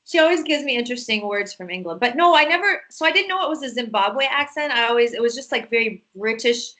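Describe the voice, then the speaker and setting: Bubbly high-voiced woman host. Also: quick pace. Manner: giggly, animated storyteller. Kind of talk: casual chat with a friend.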